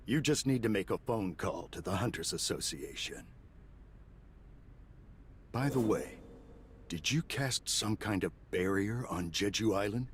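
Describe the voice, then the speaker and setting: Formal, low-voiced male official. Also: slight background noise. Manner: measured, formal. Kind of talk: video game cutscene acting.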